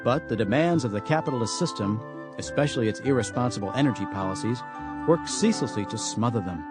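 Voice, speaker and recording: A man's voice: medium pitch, animated, fast talker. Man narrating over prominent music. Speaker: author reading own audiobook. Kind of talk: scripted satirical storybook narration.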